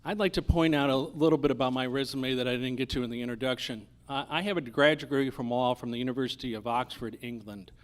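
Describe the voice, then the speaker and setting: A middle-aged or older man running for judge, giving a halting, earnest closing statement. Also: mid-range voice, fairly even intonation. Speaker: candidate for district judge.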